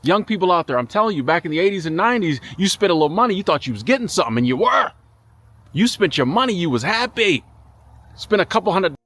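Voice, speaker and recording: Emphatic middle-aged man, higher-pitched voice. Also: very animated, fast, a bit bright, dry recording. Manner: colloquial, emphatic, repeating questions. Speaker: online video creator. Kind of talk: informal monologue to camera.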